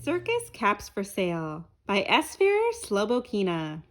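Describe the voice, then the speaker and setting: A woman reading a children's picture book aloud, with expressive, deliberate phrasing aimed at young listeners. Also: mid-range voice. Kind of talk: children's read-aloud narration.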